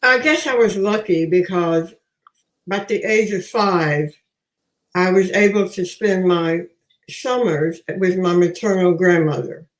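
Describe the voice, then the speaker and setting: Older woman, unhurried pace, mid-pitched voice, measured storyteller, roomy echo. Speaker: guest, master gardener. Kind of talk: conversational reminiscing. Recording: roomy, echoing sound.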